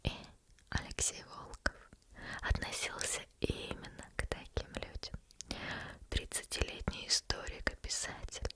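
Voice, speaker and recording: A woman whispering as a teasing female demon who reads a bedtime story, slow and intimate, close to the microphone. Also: lightly acted. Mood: hushed. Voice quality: soft unvoiced whisper throughout. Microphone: close sensitive ASMR microphone.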